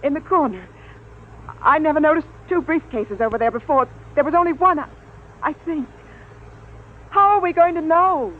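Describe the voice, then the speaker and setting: A woman plays a decisive space commander in a 1950s radio serial. Her voice is very high and tense with authority. The sound is narrow and faintly hissy, like an old broadcast. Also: moderate pace, pauses often, lightly acted. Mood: fearful. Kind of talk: 1950s radio drama acting.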